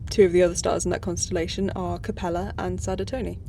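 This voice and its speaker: An actress playing a thoughtful, earnest starship crew member in a sci-fi audio drama, speaking in a tentative, explanatory way. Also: female voice, fairly low pitch, level tone, fast, warm tone, slight background noise, natural voice.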